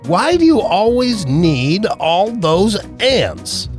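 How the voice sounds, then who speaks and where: A man's voice: high-pitched, sing-song, slow, soft background music, pitched above the performer's own voice, exaggerated voice. A man voicing a grumpy female aardvark neighbor in a storybook, grumbling and complaining accusingly.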